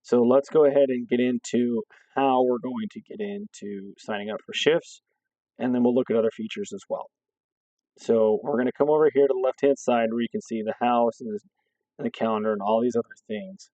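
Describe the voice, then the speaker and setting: A hoarse man from a fire district staff, explaining a scheduling app step by step and checking in with "okay" as he goes. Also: mid-range voice, fairly even intonation, a little fast, dark tone, dry recording, lo-fi sound. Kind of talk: screen-recorded software walkthrough.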